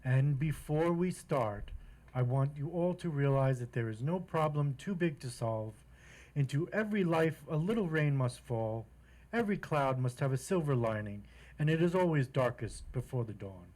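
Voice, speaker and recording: A man plays a domestic court judge in a radio comedy. He speaks at a middle pitch, formally and pompously, delivering each line as solemn wisdom. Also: animated, moderate pace, darkish tone, lightly acted, low-quality recording. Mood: pompous, officious. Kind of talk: old-time radio comedy acting.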